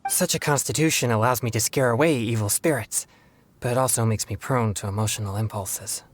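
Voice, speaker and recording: Actor as a polite young exorcist, noisy backdrop. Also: male voice, medium pitch, natural voice. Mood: earnest, pensive. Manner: formal, courteous, measured. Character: earnest, polite young exorcist man, self-controlled and sheltered. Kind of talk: video game voice acting.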